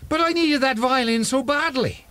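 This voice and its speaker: A man playing a gruff, hot-tempered father in an audio drama. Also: very high-pitched, normal speed, pushed and bright. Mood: defensive, tender. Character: gruff angry father who stole a violin.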